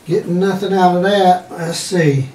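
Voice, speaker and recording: Older man muttering slowly, far from mic. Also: high-pitched, steady tone, darkish tone. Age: older, around 60s. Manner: thinking aloud in short fragments while handling an object. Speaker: hobbyist demonstrator testing old electronics. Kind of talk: casual, muttered hands-on demonstration. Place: indoor room at home, seated at a wooden table. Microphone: camera mic a few feet away. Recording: roomy, distant mic, noisy with handling clicks.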